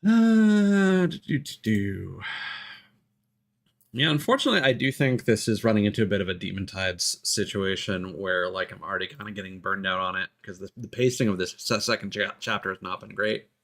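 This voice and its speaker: A man's voice: on the low side, moderate pace, fairly bright tone. A man doing casual live game commentary, thinking aloud and muttering to himself with the odd mild swear. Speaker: video game live streamer.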